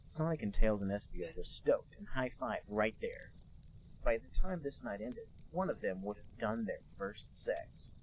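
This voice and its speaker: A man co-hosting a podcast, reading a bad fan story aloud in a deadpan, mock-serious way. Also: lowish voice, unhurried, a little hiss or hum, poor audio. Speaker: podcast co-host reading a fanfic. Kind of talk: comic dramatic reading.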